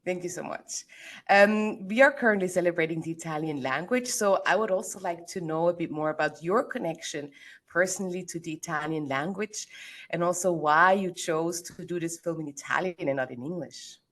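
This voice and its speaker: A woman hosting a film club Q&A, asking measured questions with some fillers. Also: fairly low pitch, fairly bright tone. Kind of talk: moderating a Q&A.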